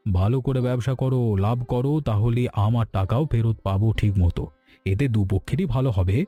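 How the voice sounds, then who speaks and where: Guy doing stately village landlord moneylender, calm, businesslike, very mellow dark voice, cartoon w music. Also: medium pitch, normal speed, natural voice. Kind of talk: cartoon story voice acting. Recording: background music throughout.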